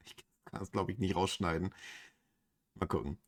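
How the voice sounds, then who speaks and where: A German man comments casually on a video game as its host. His voice sits fairly low and has a rough, husky grain. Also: normal speed. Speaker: let's play host.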